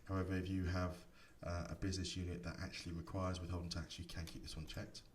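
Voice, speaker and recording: Low-voiced man explaining software, echoey recording. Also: little pitch movement, fast talker. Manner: explanatory, step by step. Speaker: software instructor. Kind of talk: screen-recorded tutorial explanation. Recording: echoey room, distant mic, faint noise.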